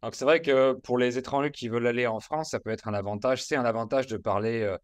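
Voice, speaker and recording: A man's voice: medium pitch, fairly even intonation, quick pace, clean tone, no room sound. A middle-aged man hosting an interview on a video call, explaining things in an encouraging way through a headset mic. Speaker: host, French teacher. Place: small room at home.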